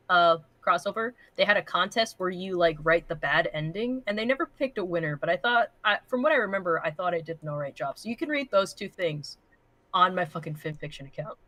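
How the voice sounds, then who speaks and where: Woman, livestream co-host, crisp bright tone, dry recording, blunt, animated, swearing casually. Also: fairly low pitch, dry recording. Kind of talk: casual livestream banter and commentary.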